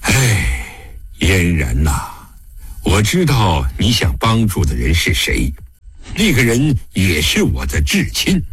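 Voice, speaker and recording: A man's voice: mid-range voice, huge pitch swings, measured pace, husky, no echo, soft background music, exaggerated voice. A man narrating an audiobook, voicing a stern, fatherly investigating magistrate with a weighty, admonishing manner. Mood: weary, gentle.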